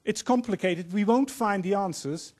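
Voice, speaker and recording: A man in his fifties with a Dutch accent, giving an earnest, measured opening speech as panel moderator in a large auditorium, holding a handheld mic. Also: high-pitched, darkish tone. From Netherlands. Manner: earnest, measured, quoting speakers.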